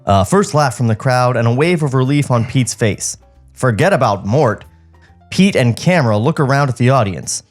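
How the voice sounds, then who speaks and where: A man's voice: medium pitch, lively intonation, moderate pace, soft background music. A man hosting a podcast, reading script directions aloud and commenting casually on them in a comedic table read.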